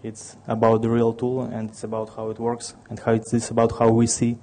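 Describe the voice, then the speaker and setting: A man's voice: mid-range voice, monotone, brisk, warm tone, a little hiss or hum. A man in his thirties from Ukraine with a Russian accent, giving a technical conference talk with many restarts and fillers. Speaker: conference speaker.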